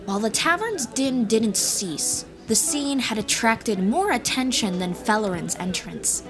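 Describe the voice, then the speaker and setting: A woman's voice: on the high side, lively intonation, a little slow. A woman reading scripted fantasy audiobook narration as the storyteller. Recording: music bed behind voice.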